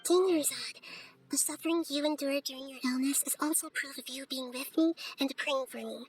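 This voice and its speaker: A woman voicing a gentle, wise childlike girl deity with measured, formal, earnest speech in a game cutscene. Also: high-pitched, faint music behind, close to an everyday voice. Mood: sincere, grateful. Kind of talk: scripted game cutscene acting.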